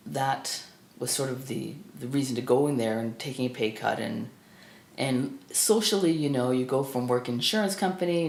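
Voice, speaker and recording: A woman in her fifties from Canada, whose first language was Estonian, recalling her life in a reflective, halting way with many restarts. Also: rumbling low, a little mellow. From Burlington, Ontario, Canada. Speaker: film critic guest. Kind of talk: reflective personal storytelling. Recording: echoey room.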